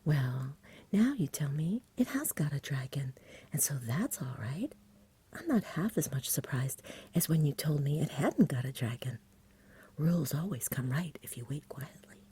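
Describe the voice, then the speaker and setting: A woman voicing a polite, self-assured, slightly weary country boy in a breathy, whispery ASMR storybook reading. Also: low voice, expressive, moderate pace, warm tone, slight background noise. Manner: matter-of-fact, self-assured.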